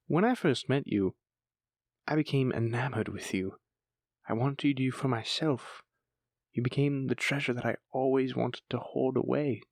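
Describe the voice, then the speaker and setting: A man's voice: medium pitch, creaky, no room sound, clean studio sound. A man playing a bashful, bookish dragon lover in a romantic fantasy audio roleplay, stammering tenderly as he speaks to his sweetheart. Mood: adoring.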